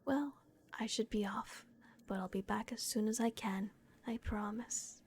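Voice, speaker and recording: Woman voicing a caring female vampire guardian, close-mic ASMR whisper, gravelly edges, unhurried. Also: mid-range voice, slight background noise, natural voice. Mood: tender, caring. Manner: soft unvoiced whisper, hesitant. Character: gentle, protective female vampire caretaker.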